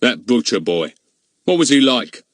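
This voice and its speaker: A man voicing a pompous, slow-witted middle-aged village policeman who blusters and is easily flustered. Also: mid-range voice, sing-song, no echo, studio quality. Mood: blustering. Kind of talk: audiobook character voice.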